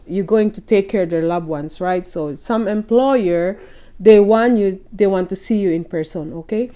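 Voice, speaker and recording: Warm-voiced woman vlogger, chatty advice. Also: medium pitch. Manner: chatty and encouraging, often checks in with "okay?" and "you know". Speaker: vlogger giving caregiver job advice. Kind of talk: casual advice vlog to camera. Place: at home in Canada.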